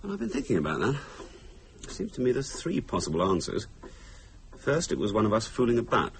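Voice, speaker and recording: A man's voice: mid-range voice, huge pitch swings, a little fast, gravelly, slight background noise. A man acting in a radio drama as a glib, teasing husband who talks wryly and likes to reason aloud. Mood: pensive, reasoning. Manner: wry, talkative, reasoning aloud.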